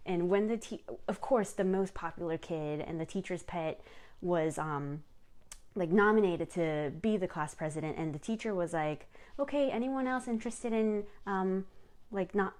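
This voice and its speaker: Young woman, from South Korea, podcast host, rambling personal storyteller, slightly low voice. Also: a little mellow. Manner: chatty, many fillers and self-corrections.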